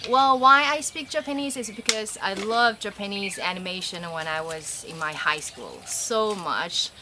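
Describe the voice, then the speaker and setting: A young woman from China, a native Chinese speaker, chatting to camera in English with a relaxed, talkative manner and some grammar slips. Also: mid-range voice, normal speed, noisy background. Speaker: vlogger. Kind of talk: casual talk to camera. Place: rural farm in Thailand.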